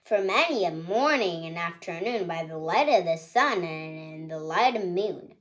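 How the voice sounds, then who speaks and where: A woman reading a children's picture book aloud with expressive storytelling, ending with a request to subscribe. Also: on the low side, normal speed, faint music behind.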